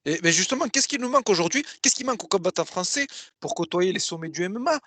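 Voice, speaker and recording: High-voiced man asking interview questions. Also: lively intonation, quick pace, pushed and bright, no echo. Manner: agreeable, asks questions and sums up points.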